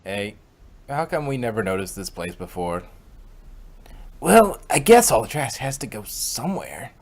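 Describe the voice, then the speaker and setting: A man's voice: mid-range voice, big pitch swings, measured pace. A man voicing kindly, casual young girl ponies in a cartoon fanfic reading.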